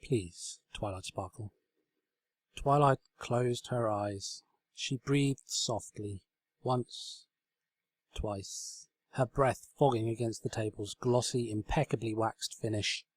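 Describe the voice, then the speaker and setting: Male narrator, medium pitch, scripted storybook reading, dry close recording. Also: steady tone, slow pace, no room sound. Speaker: fan fiction reader, narrator.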